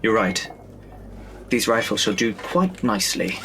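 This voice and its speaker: A man's voice: lowish voice, sing-song, a little slow, creaky, noisy background, heavily acted. A man playing a protective, tense leader among survivors in a Victorian zombie audio drama. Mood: cautious.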